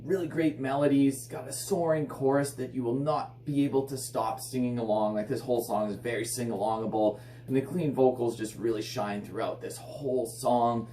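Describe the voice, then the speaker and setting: Hoarse man raving about an album. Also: medium pitch, fairly even intonation, normal speed, warm tone. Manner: enthusiastic, filler-heavy rambling with frequent "like". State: hoarse and raspy from illness. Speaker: metal album reviewer. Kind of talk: casual spoken review. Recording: echoey room, slightly distant mic, faint hum.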